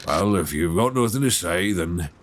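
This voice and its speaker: A man playing a gentle but probing, folksy 19th-century lighthouse keeper in an intimate ASMR suspense roleplay, soft-spoken, halting and reassuring, close on a binaural mic. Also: animated. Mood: quietly knowing. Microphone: close binaural microphone. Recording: faint low rumbling background noise.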